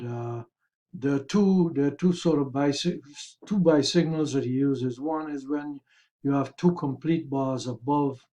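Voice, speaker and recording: A man hosting a market review, explaining charts in a measured, careful way with a slightly raspy, hoarse voice. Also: mid-range voice, warm tone, muffled recording. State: hoarse, throat-clearing. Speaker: host of a stock market review.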